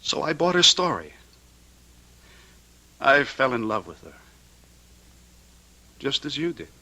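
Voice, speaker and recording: An actor playing a young, sophisticated accountant and troubled husband in an old-time radio drama, speaking in earnest, deliberate statements. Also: male voice, medium pitch, very animated, creaky, bright, forceful tone, full character voice. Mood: confessing, bitter.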